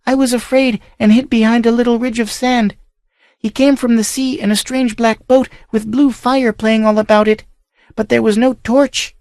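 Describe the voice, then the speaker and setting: A man's voice: level tone, normal speed, darkish tone, clean tone, dry recording, clean studio sound. A man voicing a terrified pleading young girl. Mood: fearful. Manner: high falsetto, breathless pleading.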